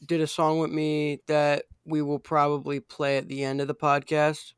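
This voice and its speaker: A young male podcast host interviewing a guest, hesitant and filling pauses as he thinks. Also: fairly high pitch, completely flat delivery, clear, resonant tone, dry recording, clean studio sound. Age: young, teen or younger. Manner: hesitant, many fillers and restarts.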